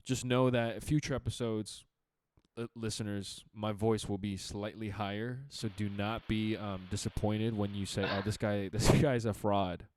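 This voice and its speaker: A young man with a deep, radio-style voice co-hosts a relaxed podcast, talking in animated bursts. His tone is low and smooth, recorded close and dry with no room sound. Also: moderate pace, no echo. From Chicago area. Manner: animated, laughs often, talks in bursts with restarts. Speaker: podcast co-host. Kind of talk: casual podcast chat.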